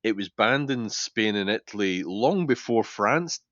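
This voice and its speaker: A man's voice: on the low side, animated, a little slow, a bit bright, no echo. A man co-hosting a casual podcast discussion, explaining at length in a hesitant, repetitive way. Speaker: podcast co-host. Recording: dull, dry podcast audio.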